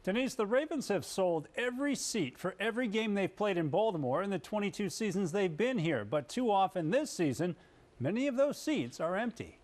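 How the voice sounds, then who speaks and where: Male TV sportscaster, high voice, emphatic scripted read, clearly noisy background. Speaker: TV sports director and reporter. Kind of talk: scripted sports news report. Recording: loud background noise on location.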